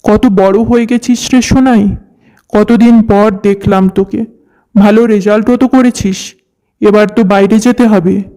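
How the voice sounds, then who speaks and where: A young professional man in a cartoon story, friendly and persuasive, as acted by a man. The voice is high for a man, very smooth and softly mellow. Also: fairly even intonation, measured pace. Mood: friendly, helpful. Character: friendly, helpful young engineer and persuasive businessman. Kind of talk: scripted cartoon dialogue.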